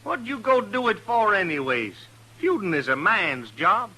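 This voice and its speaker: An actor playing a rowdy American frontier cowboy, drawling and folksy, in an old-time radio western. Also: male voice, sits high, very animated, unhurried, bright, forceful tone, slightly rough, full character voice, rough recording. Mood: drunk, grumbling.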